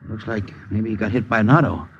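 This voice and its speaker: A man's voice: lowish voice, steady tone, fairly quick, a little hiss or hum, lightly acted. A man playing a timid, greedy, henpecked husband who speaks hesitantly in folksy American English in a 1950s radio drama. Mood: furtive, shaken. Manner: hesitant, stammering, folksy ungrammatical speech. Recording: muffled old radio recording.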